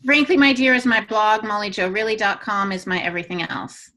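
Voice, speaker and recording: A woman's voice: medium pitch, expressive, brisk, fairly bright tone. A woman co-hosting a podcast, chatting casually and playfully as she tells personal anecdotes. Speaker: podcast co-host.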